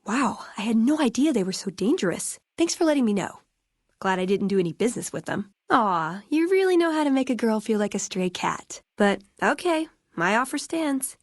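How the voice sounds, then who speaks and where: A woman's voice: on the high side, big pitch swings, no echo, clean studio sound. An actress playing a witty, eager young woman scribe and scavenger in a video game, with a wry, chatty manner. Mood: feigned surprise. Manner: wry, chatty, quick to joke. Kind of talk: video game character dialogue.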